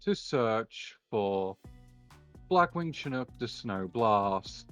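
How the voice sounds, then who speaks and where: A man playing a polite, measured rival duelist in an improvised anime-style card game roleplay, pausing between phrases. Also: fairly low pitch, lively intonation, fairly bright tone, highs cut off, soft background music, exaggerated voice. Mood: composed, intrigued.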